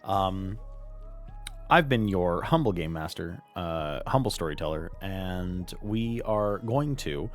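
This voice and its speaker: A lowish-voiced man hosts as game master and closes the stream with exuberant energy. He swears freely and calls out loudly to the others. Faint music sits behind him. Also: expressive, slow. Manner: exuberant, swearing, loud call-outs. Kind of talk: casual stream outro.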